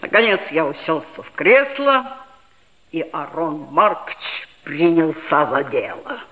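A woman's voice: low voice, lively intonation, measured pace, phone-quality sound. An actress playing an earnest, proud seventh-grade schoolboy who narrates his own story in a 1960s radio play. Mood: matter-of-fact storytelling. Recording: thin band-limited old radio recording, music behind.

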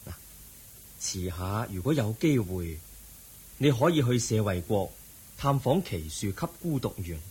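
An actor playing the Buddha as a serene, compassionate teacher in a radio drama, speaking measured, earnest Cantonese with a Hong Kong accent. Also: male voice, fairly low pitch, sing-song, darkish tone, slightly rough, full character voice, poor audio. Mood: consoling, instructive.

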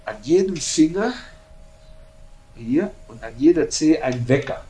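A man playing a boastful old-regime policeman who relishes his brutal anecdotes. His pitch leaps about as he acts out exchanges in colloquial speech, with clear noise and ambience around him. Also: expressive, a little slow, mellow. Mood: amused. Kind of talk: animated spoken reminiscence. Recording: noticeable background noise and ambience.

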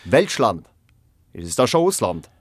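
Stern, probing official, close and dry. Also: male voice, on the low side, sing-song, a little slow, breathy edge, no echo, full character voice. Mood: probing, curt. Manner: curt, formal, insistent questioning. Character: stern, probing official interrogating a job applicant. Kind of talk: radio drama dialogue.

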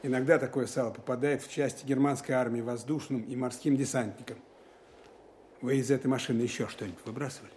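An actor playing a dry, exacting counterintelligence lieutenant colonel, terse and commanding, in a wartime audio drama. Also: male voice, mid-range voice, steady tone, darkish tone, slight background noise, lightly acted. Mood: probing. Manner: terse, commanding, deliberate questioning. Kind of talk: Russian wartime audio drama, narration and dialogue.

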